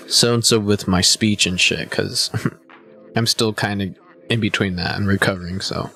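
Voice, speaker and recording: A man's voice: on the low side, fairly even intonation, unhurried, gravelly, pushed and bright, soft background music. A man in his twenties chatting casually to his live stream viewers, a bit hungover, rambling and laid-back with lots of "man" and "you know.". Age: 20s. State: a little hungover.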